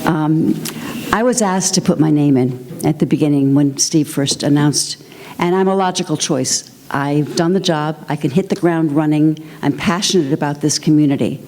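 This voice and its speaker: A woman speaking as a council candidate at a forum, deliberate and emphatic in short sentences. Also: sits low, mellow. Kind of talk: forum closing statement.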